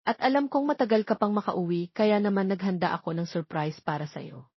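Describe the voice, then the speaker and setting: A woman's voice: medium pitch, fairly quick, slightly rough, dry recording, dull audio. A woman voicing a hurt, tearful young mother in an emotional, pleading way for an audio romance drama. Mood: hurt, aggrieved.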